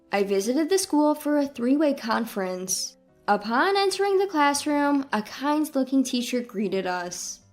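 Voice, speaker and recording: High-pitched woman narrating a scripted story.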